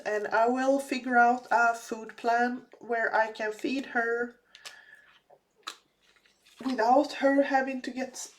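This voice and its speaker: A woman talks casually as she crafts, her speech slow and unhurried. Her voice sits a little high and carries a slight rasp left over from a cold. She often restarts her sentences and fills the gaps with small hesitations. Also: a little hiss or hum, low-quality recording. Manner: slow, halting, many fillers and restarts. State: slightly raspy, recovering from a cold. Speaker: crafting vlogger. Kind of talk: casual chat while crafting.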